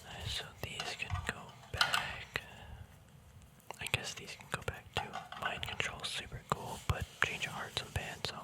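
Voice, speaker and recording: A man speaking in a soft, breathy whisper in a casual, relaxed ASMR style, thinking aloud close to the microphone. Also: unhurried. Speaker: ASMR video creator. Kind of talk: whispered ASMR, casual rambling card showcase.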